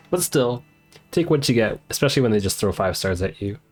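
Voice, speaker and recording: Male game streamer, relaxed conversational chat with viewers, music faintly underneath. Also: mid-range voice, husky. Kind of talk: casual live-stream chat, reading game dialogue aloud.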